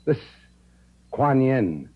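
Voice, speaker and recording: An actor playing a tough, sardonic American skipper in a wry, hard-boiled 1950s radio style. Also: male voice, medium pitch, sing-song, very slow, exaggerated voice. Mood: wry, cool. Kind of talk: 1950s radio adventure acting. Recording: muffled old-time radio recording.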